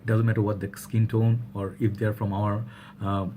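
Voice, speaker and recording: Young man from Bangladesh, vlogger, rambling casual delivery, lowish voice. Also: flat delivery, normal speed, mellow, slight background noise. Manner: rambling, many fillers and restarts. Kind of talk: casual talk to camera.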